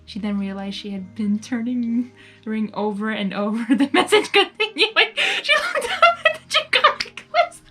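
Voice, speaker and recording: A woman hosting and narrating a live story reading in an expressive, giggly manner, reacting aloud as she goes. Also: a little high, normal speed, faint music behind. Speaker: fan fiction reader and host.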